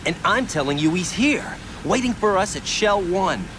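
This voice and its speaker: A calm, patient young rescue operative in a cinematic video game. He is earnest and coaxing, reassuring like someone guiding a frightened person to safety. Noisy watery ambience runs clearly behind him. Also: high voice, normal speed.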